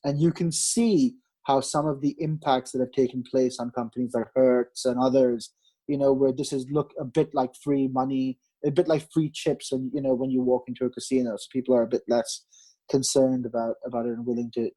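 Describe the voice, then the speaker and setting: A man in his late thirties, originally from Pakistan, speaks as a market strategist guest on a podcast. His voice is mellow and dark, at a middling pitch. He explains things at length, stopping often to restart a phrase or add a filler. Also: fairly even intonation, fairly quick, low-quality recording. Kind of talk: podcast interview answers.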